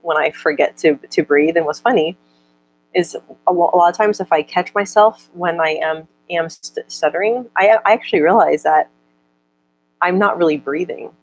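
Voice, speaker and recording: A woman's voice: lowish voice, low-quality recording. A young woman in casual chat, stuttering often with repeated words and broken-off syllables as she answers viewer comments. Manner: reads out viewer comments slower.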